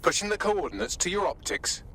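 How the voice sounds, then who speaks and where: A bright, chattering mission assistant. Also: male voice, high-pitched, lively intonation, fairly quick, a little hiss or hum. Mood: brisk, informative. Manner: glib, wry, chipper. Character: cheeky, brisk male assistant guiding an operative. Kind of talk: video game mission briefing.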